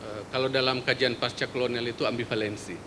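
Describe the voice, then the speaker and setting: Indonesian man presenting in a hall, bright tense voice, easygoing and halting, faint rumble behind. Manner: relaxed, joking, many hesitations. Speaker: forum speaker, media researcher. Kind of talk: conference presentation. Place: lecture hall.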